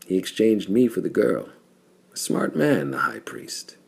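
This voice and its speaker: Big gruff male brawler, hearty growl, husky low voice acted by a man narrator, pulp sci-fi audiobook. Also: huge pitch swings, unhurried, a little mellow, full character voice. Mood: wry admiration. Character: Tandor, bald giant brawler, gruff and amused.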